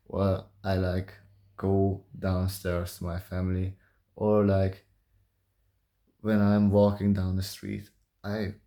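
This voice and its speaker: A young man with a low, gravelly voice and a mellow, muted tone talks softly about himself. He is calm and hesitant, leaving long gaps as he thinks aloud in a confessional vlog. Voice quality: soft voice. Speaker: vlogger.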